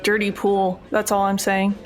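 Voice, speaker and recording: A woman's voice: mid-range voice, monotone, normal speed, natural voice. A woman playing a sarcastic, defiant burglar in a tabletop game, with a dry, sardonic delivery. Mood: sarcastic, indignant. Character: sarcastic, foul-mouthed burglar, defiant and wry.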